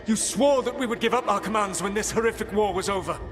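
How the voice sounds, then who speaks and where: A man's voice: sits high, quick pace, noisy background, natural voice. A man playing an indignant, idealistic elven rebel in a fantasy video game.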